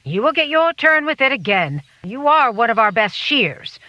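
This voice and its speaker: An actress playing an imperious, disciplined leader of a secret society in an audio drama, formal and authoritative. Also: female voice, on the high side, very animated, moderate pace, no echo, dull audio. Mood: commanding.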